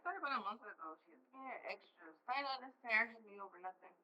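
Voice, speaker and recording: A woman's voice: on the high side, animated, fast, sharp tone, dull audio. A woman playing a defiant, exasperated sixteen-year-old American schoolgirl in a stage play, arguing in slangy, defensive speech.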